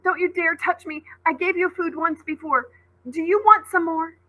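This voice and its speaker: A woman's voice: very high-pitched, steady tone, moderate pace, pushed and bright, pitched above the performer's own voice, rough recording. A woman voicing a frightened but brave young girl in a storybook, speaking with urgent defiance. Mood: defiant.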